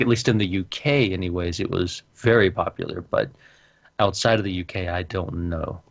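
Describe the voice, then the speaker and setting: Deep-voiced man, dry muffled mic. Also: dry recording. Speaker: podcast co-host. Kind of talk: casual podcast discussion.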